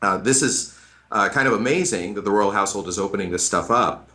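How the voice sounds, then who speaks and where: A man's voice: fairly low pitch, brisk, pushed and bright. An American man, a middle-aged history professor, explaining at length in a radio interview with frequent hesitant fillers. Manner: thoughtful, hesitant, many fillers.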